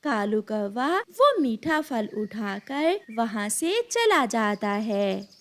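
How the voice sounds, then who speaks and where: High-pitched woman narrating a children's story. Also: big pitch swings, very slow.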